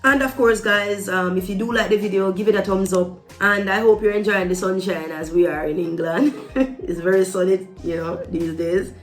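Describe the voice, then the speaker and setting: A woman's voice: mid-range voice, normal speed. A woman with a Jamaican accent giving a casual opinion monologue to viewers, emphatic and repeating phrases to drive them home. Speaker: vlogger. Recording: echoey room with music behind. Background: background music.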